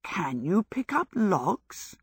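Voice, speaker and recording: A woman's voice: on the low side, very animated, normal speed, fairly bright tone, husky, no echo, clean studio sound, full character voice. Woman as a grumbling, sarcastic old woman. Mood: brisk. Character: grumpy, sarcastic old woman, achy and stubborn.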